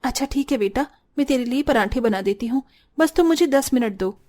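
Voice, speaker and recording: A woman playing a gentle, enterprising young daughter-in-law in a cartoon story, polite and earnest. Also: sits high, animated, fairly quick. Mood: patient. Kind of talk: Hindi cartoon story dialogue.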